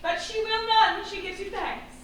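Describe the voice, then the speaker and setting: A woman acting a curt, cold noble mother with a very high voice. She sounds distant in a heavily echoing theatre, with faint noise. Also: steady tone, moderate pace, a bit bright. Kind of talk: Shakespearean stage acting. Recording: echoey stage from far off, faint noise.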